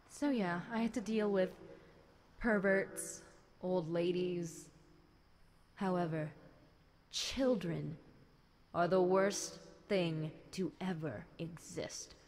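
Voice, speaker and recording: A woman's voice: lowish voice, darkish tone. A woman playing a chatty, centuries-old fallen angel demon girl, talking wryly and conversationally to one listener in an audio roleplay. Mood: wryly aggrieved. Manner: conversational, wry, unhurried, dramatic musing. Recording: clear background noise.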